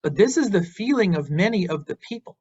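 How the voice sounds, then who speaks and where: A man's voice: high voice, expressive, a little fast, darkish tone, husky. Earnest American man lecturing, muffled sound. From Boston, United States. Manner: earnest and encouraging, with some fillers. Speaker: university lecturer. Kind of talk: invited lecture, online.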